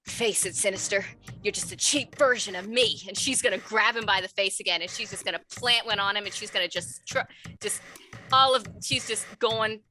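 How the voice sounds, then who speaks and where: A woman playing a tabletop roleplaying game, casually improvising her character's actions in an informal, halting, thinking-aloud way. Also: medium pitch, bright, forceful tone, soft background music.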